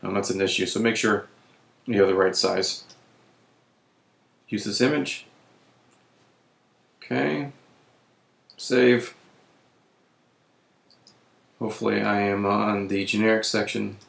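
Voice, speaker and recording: A man with a voice that is giving out, who clears his throat often and keeps correcting himself while walking through software on screen. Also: fairly low pitch, slow, long pauses, echoey room. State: losing his voice. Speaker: web tutorial presenter. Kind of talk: screen-recorded software walkthrough.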